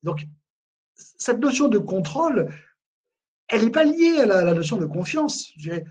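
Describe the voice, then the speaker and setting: Guy giving a webinar over a video call, kinda husky and a bit high, lots of repeats and filler tags. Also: very animated, normal speed, darkish tone. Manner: emphatic, repeats words, frequent "en fait, hein" fillers. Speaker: HR consultant presenting a webinar. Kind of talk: live webinar talk on management.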